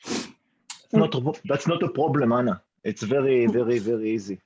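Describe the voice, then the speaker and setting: A man's voice: medium pitch, normal speed, darkish tone, slightly rough, muffled recording. A man bantering jokingly from across a lecture hall, often far from the mic.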